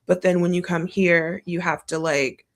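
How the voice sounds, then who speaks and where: A woman's voice: lowish voice, steady tone, normal speed. A woman hosting a podcast interview, asking questions and affirming her guest encouragingly. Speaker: podcast host.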